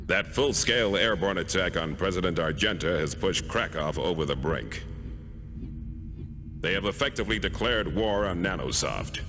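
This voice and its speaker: A man playing a stern, authoritative police squadron commander in a video game, delivering a formal briefing in a deliberate, commanding way. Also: sits low, expressive. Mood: grave, stern. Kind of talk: formal military briefing, game cutscene acting. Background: music.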